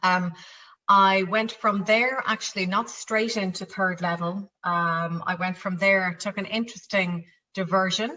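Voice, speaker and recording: A woman's voice: medium pitch, fairly even intonation, a little slow, sharp tone, dull audio, slight background noise. A middle-aged woman with a Dublin Irish accent, a company chief executive answering interview questions in a chatty way, with fillers and restarts. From Ireland.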